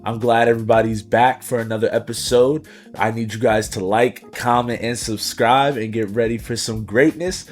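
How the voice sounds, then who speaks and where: A man hosting a casual podcast, upbeat and slangy, his voice a little raspy from a week of partying. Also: mid-range voice, steady tone, normal speed, soft background music. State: slightly raspy after partying. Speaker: podcast host.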